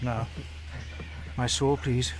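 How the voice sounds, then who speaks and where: A British actor playing a stern, urgent gentleman ship's doctor in a radio drama. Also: mid-range voice, flat delivery, slow, slight background noise, close to an everyday voice. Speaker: doctor character.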